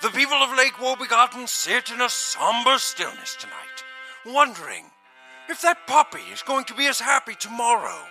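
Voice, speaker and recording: A man playing a solemn, folksy small-town storyteller in a comedy audio drama, speaking with mock gravity and dramatic pauses. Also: very high voice, sing-song, bright, forceful tone, faint music behind, heavily acted, poor audio.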